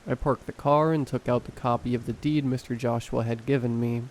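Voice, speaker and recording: A man narrating horror stories in a calm, measured storytelling voice. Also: mid-range voice, slight background noise. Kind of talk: scripted narration.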